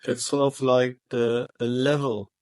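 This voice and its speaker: A man answering interview questions about his company, speaking in halting phrases with frequent restarts. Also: medium pitch, level tone, a little mellow, no room sound. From Germany. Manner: halting, many restarts and repeated words. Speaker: roofing company manager.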